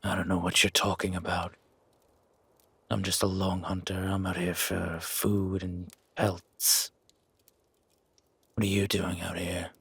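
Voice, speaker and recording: A man voicing a gruff, wary werewolf in a fantasy audio roleplay, brooding and terse, with a breathy, menacing growl. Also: sits low, flat delivery, measured pace, long pauses, a bit bright, no echo, full character voice. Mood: guarded. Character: gruff, wary male werewolf living in the wilderness.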